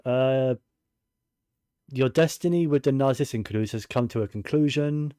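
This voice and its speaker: A man's voice: mid-range voice, unhurried, mellow, clear, resonant tone, no room sound, clean studio sound. A man giving casual video game commentary, musing and thinking aloud to himself as he plays.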